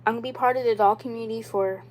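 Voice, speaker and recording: A woman's voice: a little high, steady tone, racing, a little hiss or hum. A young woman in her twenties hosting a casual livestream for her viewers. She talks in an earnest, insistent way, circles back to the same points, and fills her sentences with "like" and "you know.". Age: 20s. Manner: rambling and repetitive, with many "like" and "you know" fillers. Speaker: doll YouTuber.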